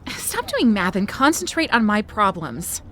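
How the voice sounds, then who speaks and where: A woman playing an anxious, overthinking woman with a fretful, deliberate manner in an audio drama. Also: mid-range voice, wildly swooping pitch, a bit bright. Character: anxious, overthinking woman hesitant about marriage.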